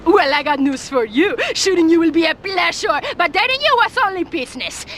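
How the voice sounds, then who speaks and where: A mocking cartel villainess in a crime game. Her high voice swings widely as she taunts, over rumbling noise. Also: animated, a little fast, fairly bright tone. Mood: gloating. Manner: sneering, taunting.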